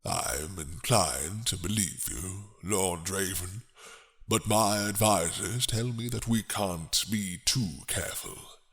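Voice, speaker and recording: A man voicing a grave, suspicious king in a dramatic roleplay, stately, formal and deliberate. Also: sits low, fairly bright tone, voice pushed down, heavily acted. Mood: grave, commanding.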